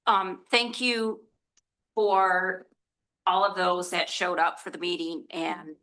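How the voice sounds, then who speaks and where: A resident woman addresses a council meeting at public comment. Her voice is loud and bright, and she speaks earnestly about her concern. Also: on the low side, level tone, slow, low-quality recording. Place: council chamber.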